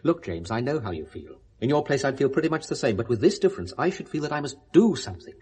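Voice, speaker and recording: An actor playing a genteel, pious and concerned British confidant in a 1970s radio drama, speaking with gentle firmness. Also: male voice, mid-range voice, sing-song, quick pace, close to an everyday voice. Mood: earnest, advising.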